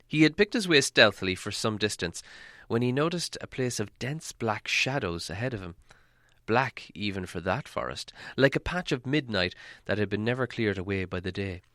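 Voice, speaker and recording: Low, bright-voiced dramatic male storyteller. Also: animated, no room sound, studio quality. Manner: theatrical, with deliberate dramatic pauses. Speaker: audiobook narrator.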